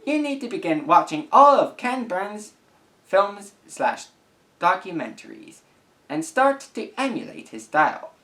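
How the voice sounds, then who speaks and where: Male, late teens, video creator. Highly varied intonation, earnest and careful, slightly halting casual read. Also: very animated, a bit bright. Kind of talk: casual vlog, reading messages aloud.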